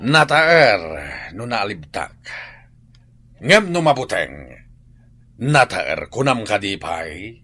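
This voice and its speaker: An actor playing a cocky, mocking bandit gang boss in an Ilocano radio drama, with an Ilocano accent and a swaggering, commanding manner. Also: male voice, on the low side, expressive, slow pace, pauses often, sharp tone, slightly rough, exaggerated voice.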